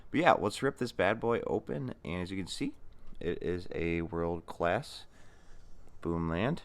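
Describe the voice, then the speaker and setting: A man's voice: deep voice, measured pace. An American man giving casual, enthusiastic, rambling unboxing commentary as a channel host.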